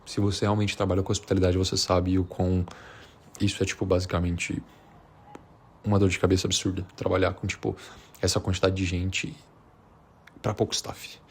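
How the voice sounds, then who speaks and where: A man's voice: sits low, slightly rough. A man from Minas Gerais with a Brazilian accent, chatting casually and without a script, in a slangy, hesitant, rambling way. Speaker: vlogger. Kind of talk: unscripted casual chat to camera.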